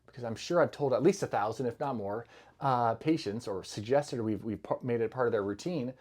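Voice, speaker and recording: A man's voice: mid-range voice, brisk, a little mellow. A middle-aged man giving a loose, conversational health talk to camera, full of fillers and restarts, with the easy manner of a clinician speaking to patients. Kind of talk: casual educational talk to camera.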